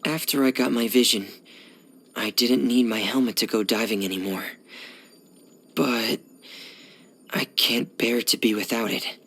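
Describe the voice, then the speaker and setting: A man playing a shy, gloomy teenage boy diver in video game voice lines, speaking softly and hesitantly in a hushed, breathy voice. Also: medium pitch, steady tone, measured pace, long pauses. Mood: calm, pensive. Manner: hesitant, reserved, subdued.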